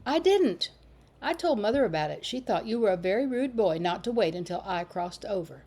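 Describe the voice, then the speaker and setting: A woman's voice: mid-range voice, animated, brisk, warm tone. A woman voicing a bold, saucy little girl in a storybook reading, teasing and sing-song.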